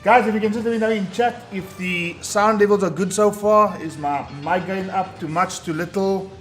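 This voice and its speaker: Booming high-voiced male stream host. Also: normal speed, fairly bright tone. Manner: hyped, talks straight to chat. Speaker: tournament host. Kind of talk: live stream hosting. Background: faint background music.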